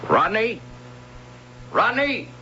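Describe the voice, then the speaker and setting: An actor playing a stern, stubborn husband in an old radio drama, gruff and scolding in manner. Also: male voice, high voice, big pitch swings, extremely slow, pushed and bright, full character voice. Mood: angry, scolding. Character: stern, irritable, stubborn husband fed up with a boy. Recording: dull, noisy old radio recording.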